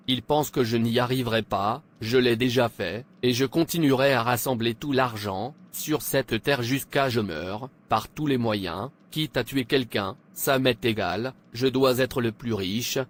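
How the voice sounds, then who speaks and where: A man's voice: medium pitch, close to an everyday voice. A man playing a stubborn, greedy, bitter young man in a cartoon, with defiant, declarative delivery. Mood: bitter, boastful.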